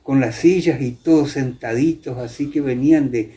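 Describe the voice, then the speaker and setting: An older man with an Argentine accent from Entre Ríos, reminiscing in a conversational interview, haltingly searching for words and repeating himself. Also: mid-range voice, mellow. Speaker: interviewee, former radio theatre actor.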